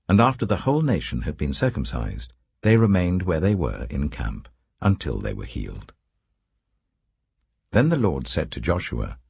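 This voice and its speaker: A man with a low, very husky voice narrates scripture like an audiobook. His delivery is solemn and deliberate, with expressive rises and falls in pitch across each phrase. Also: no echo. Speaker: Bible narrator.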